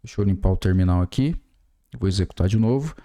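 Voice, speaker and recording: A man's voice: sits low, animated, fast, mellow. A Brazilian man in his forties explaining a coding tutorial step by step while typing, with many fillers. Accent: Brazilian Portuguese. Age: 40s. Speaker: programming tutor. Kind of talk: screen-recorded coding tutorial.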